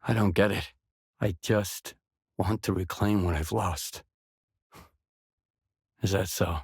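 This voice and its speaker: An actor playing a gruff, cynical and weary stalker in a video game, terse and sardonic in manner, with a husky voice. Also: male voice, low voice, steady tone, takes it slowly, a little mellow, no room sound, clean studio sound, full character voice. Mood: grim, weary. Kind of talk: video game voice lines, dramatic acting.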